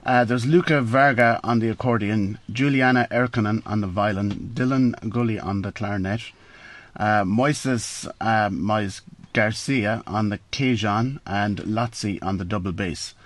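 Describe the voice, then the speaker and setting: A man's voice: on the low side, a little slow, no echo. A male radio host, interviewing a band in a small studio, talking hesitantly with many fillers and repeated words. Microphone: studio boom mic. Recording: dry close studio sound.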